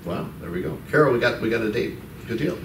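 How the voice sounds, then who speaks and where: A middle-aged man hosting a casual office talk show, easygoing and halting, with fillers and restarts. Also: mid-range voice, lively intonation, gravelly. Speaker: host. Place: office lounge set with sofas. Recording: roomy, distant mic with faint noise.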